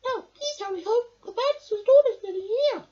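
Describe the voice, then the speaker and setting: A young male voicing a tiny, anxious, helpful storybook mouse with a squeaky voice. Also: normal speed, dark tone, dull audio. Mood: alarmed.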